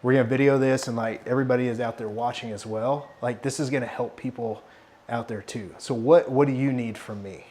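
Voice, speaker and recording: A man's voice: medium pitch, fairly quick, slight background noise. Forty-something guy, blunt mentor, heavy swearing, mid-range voice. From Arkansas. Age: 40s. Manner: profane, blunt, animated. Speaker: fitness consultant. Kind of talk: casual mentoring chat.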